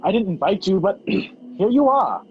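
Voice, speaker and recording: A man's voice: high-pitched, big pitch swings, moderate pace, slight background noise. A young man acting a wisecracking, sarcastic fugitive in an amateur radio play. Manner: dry sarcasm. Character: wisecracking fugitive man, sardonic, handcuffed to a woman. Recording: dull lo-fi video call audio. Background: music.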